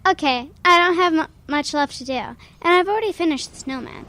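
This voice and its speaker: A woman's voice: sits high, fairly quick, sharp tone, a little hiss or hum. A woman playing an earnest, curious young schoolboy with a puzzled, childlike manner in a children's audio drama. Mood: cheerful.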